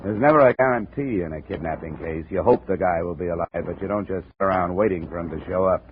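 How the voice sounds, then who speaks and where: Man acting tough American investigator, lowish voice, clipped wry delivery, old radio drama, tinny narrowband sound. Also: quick pace, slight background noise, lightly acted. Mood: dry narration. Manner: clipped, hard-boiled, wry. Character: tough, unflappable male insurance investigator. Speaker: lead actor and narrator. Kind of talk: 1950s radio detective acting.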